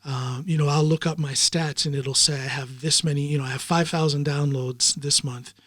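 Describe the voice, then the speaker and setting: Male podcaster, reflective and rambling, fairly high register, dry close mic. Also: fairly even intonation, normal speed, a bit bright, dry recording. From United States. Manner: rambling and reflective, many ums and uhs. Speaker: podcast host in the guest chair. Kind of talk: casual interview chat.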